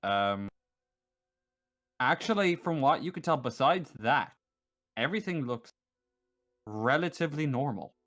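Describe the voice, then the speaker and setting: A man acting as game master, improvising narration and table talk in a halting way, repeating words as he thinks aloud. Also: mid-range voice, expressive, fairly bright tone, faint music behind.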